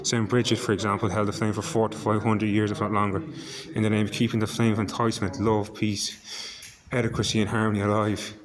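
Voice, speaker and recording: Irish guy vlogging, husky hoarse voice, low and pretty monotone, keeps tripping over words. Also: quick pace, a crowd in the background. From Ireland. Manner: stumbling, repeats words while searching for them. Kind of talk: rambling monologue to camera.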